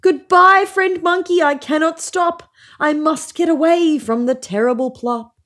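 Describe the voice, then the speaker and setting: A woman's voice: sits high, big pitch swings, moderate pace, darkish tone, pitched above the performer's own voice. A woman doing a nervous, curious fox. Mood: fearful. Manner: anxious and questioning.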